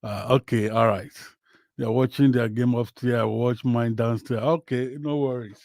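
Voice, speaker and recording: A Nigerian man whose first language is Yoruba, hosting a casual football livestream from home, talking slowly with many fillers. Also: mid-range voice, steady tone, a little mellow, dry recording, clean studio sound. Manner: slow, hesitant, many fillers. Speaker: livestream host. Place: home, in Western Australia.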